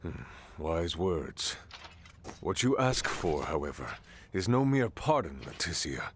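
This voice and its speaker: Casting: solemn game king, low voice, slow stately delivery, expressive swings in tone. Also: background noise, heavily acted. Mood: grave, measured. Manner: formal, stately, deliberate phrasing. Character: solemn, regal king and father, measured and authoritative. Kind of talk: video game cutscene acting.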